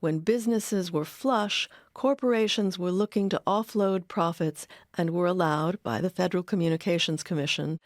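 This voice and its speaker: A woman with an American accent giving scripted documentary narration in a measured, articulate way. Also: fairly low pitch, no echo, studio quality.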